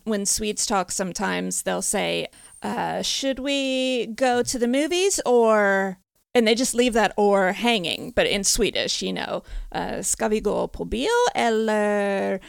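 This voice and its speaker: Chatty American woman with a gravelly edge. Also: medium pitch, dry recording. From United States. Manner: chatty storyteller, laughs often. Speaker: podcast host. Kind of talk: casual chat.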